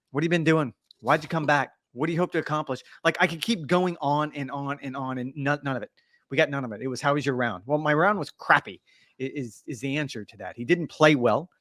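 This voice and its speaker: A man with a middle-pitched voice trades teasing, lively banter as a podcast co-host. He sounds casual and quick to rib his partner. The recording is dry and close-miked. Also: dry recording. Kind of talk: casual sports podcast chat.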